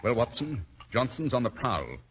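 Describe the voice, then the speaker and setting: A male actor with a British accent playing a shrewd, self-assured gentleman detective in an old radio drama, speaking in a clipped, slightly husky, authoritative way. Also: medium pitch, big pitch swings, moderate pace. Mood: musing, assured. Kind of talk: 1940s radio drama acting. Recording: dull lo-fi old radio recording.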